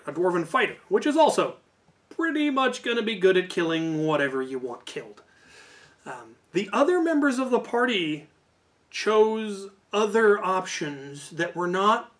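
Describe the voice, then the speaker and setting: A man telling a casual, animated story about a tabletop game, as a host who acts out quoted lines. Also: high voice.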